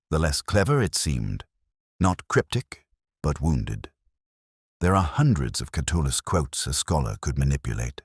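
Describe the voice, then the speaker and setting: A man narrating a first-person detective story in a measured, brooding way, with deliberate pauses. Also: very deep voice, husky, no room sound, studio quality.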